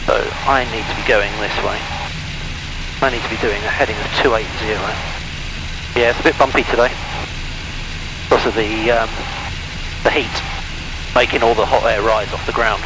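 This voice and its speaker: A man's voice: fairly low pitch, steady tone, moderate pace, long pauses. A man speaking as a private pilot on a cockpit headset, making radio calls and chatting casually. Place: inside a small aircraft cockpit. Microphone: intercom headset. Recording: loud light-aircraft engine noise.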